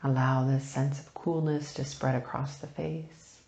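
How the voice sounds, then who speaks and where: A woman leading a guided meditation, speaking softly and soothingly in unhurried phrases with long pauses, turning breathy at phrase ends. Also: very deep voice, level tone, reverberant, lo-fi sound. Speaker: meditation teacher.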